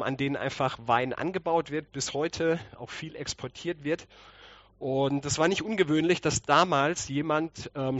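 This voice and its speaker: A man's voice: mid-range voice, fairly bright tone, dry recording, muffled recording. A man with a slight cold, preaching conversationally and explaining with rhetorical questions. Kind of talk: conversational sermon.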